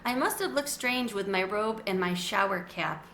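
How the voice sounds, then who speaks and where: A woman voicing a gentle mother who soothes her two young sons. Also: mid-range voice, lively intonation, normal speed, echoey room. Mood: soothing.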